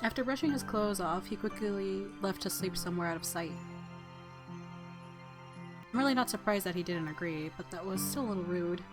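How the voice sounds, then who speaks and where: A woman's voice: mid-range voice, lively intonation, moderate pace, pauses often, music in the background. Playful woman narrating a game. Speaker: let's play host reading game text. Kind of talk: narration with casual commentary.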